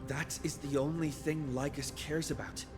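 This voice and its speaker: An actor playing an earnest, defiant young male hero in a video game, speaking in a solemn, measured, declarative way. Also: on the high side, steady tone, lightly acted. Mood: grave, warning. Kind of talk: dramatic video game voice acting. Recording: long reverb, loud music underneath.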